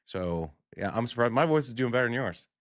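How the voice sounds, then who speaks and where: A man co-hosting a travel podcast, talking loosely and rambling with fillers, his voice a little worn from yelling. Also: mid-range voice, expressive, brisk, no echo, clean studio sound. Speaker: podcast co-host. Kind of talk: casual travel podcast chat.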